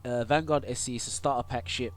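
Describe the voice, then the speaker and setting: A man's voice: mid-range voice, steady tone, fast. A young man with a cold, congested, casually walking through a game tutorial full of fillers and restarts. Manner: rambling, many fillers and restarts. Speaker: gaming YouTuber and streamer.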